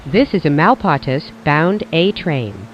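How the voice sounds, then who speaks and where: Transit announcer: measured, formulaic scripted delivery with animated pitch, dull recording over faint vehicle noise. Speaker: train announcer.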